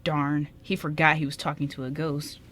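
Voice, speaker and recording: A low-pitched female narrator reads a radio play script. She stumbles now and then, and there is faint noise on the amateur recording. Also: level tone, moderate pace, fairly bright tone. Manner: stumbles while reading.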